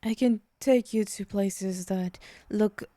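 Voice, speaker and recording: A woman playing a possessive, teasing female demon in an ASMR roleplay, menacing yet indulgent, hushed and speaking directly to the listener. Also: medium pitch, takes it slowly, dry recording, lightly acted. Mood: smug, coaxing.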